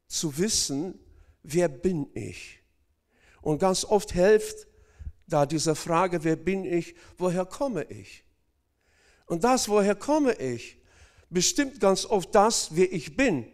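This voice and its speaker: A man's voice: high voice, expressive, slow pace, pauses often, darkish tone. A man from Northern Kazakhstan preaching a sermon, speaking hesitantly with many fillers and addressing the congregation directly.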